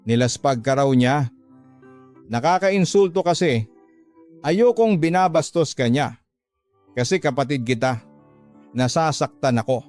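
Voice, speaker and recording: A man's voice: medium pitch, lively intonation, measured pace, long pauses, a little mellow, soft background music, natural voice. A man playing a frank, earnest young working-class older brother who admonishes and confides in a radio drama. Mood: hurt, protective.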